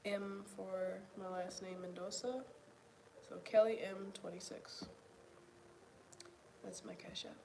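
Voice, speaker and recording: A soft-spoken woman talking reflectively to the camera at home, pausing to think and at times dropping almost to a whisper. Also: mid-range voice, fairly even intonation, gravelly, noisy background. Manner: pauses to think, drops to near whispers. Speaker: video journaler. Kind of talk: reflective casual talk to camera.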